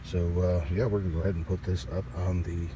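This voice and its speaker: Low-voiced man, noisy outdoor recording. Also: little pitch movement. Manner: halting, folksy, offhand. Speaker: host of a DIY radio repair channel. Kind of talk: casual hands-on repair walkthrough. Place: outdoors beside a car.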